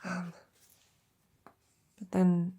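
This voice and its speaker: A woman playing a devoted, courteous female knight in an intimate romantic audio roleplay, speaking softly and breathily with gentle, halting, formal phrasing. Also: fairly low pitch, completely flat delivery, full character voice. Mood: curious, hesitant. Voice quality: breathy, soft. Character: devoted female royal knight, tender, courteous, easily flustered.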